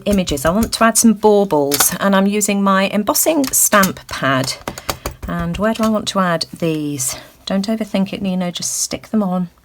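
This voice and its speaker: A woman hosting a craft tutorial, thinking aloud with lots of ums and a slightly croaky voice. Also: mid-range voice, slight background noise. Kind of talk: casual crafting demonstration. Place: indoors at a craft table.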